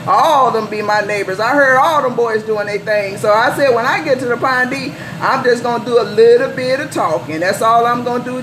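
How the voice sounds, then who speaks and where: An American woman working as a CB radio operator, bantering in folksy slang and repeating calls, handles and sign-offs. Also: on the high side. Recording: noisy live CB radio setup.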